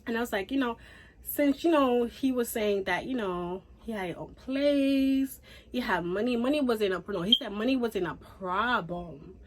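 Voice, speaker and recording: A young woman from Haiti chatting casually through a storytime, animated and full of fillers. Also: on the high side, normal speed, poor audio. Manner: chatty, animated, says "like" and "y'all" a lot. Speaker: YouTuber.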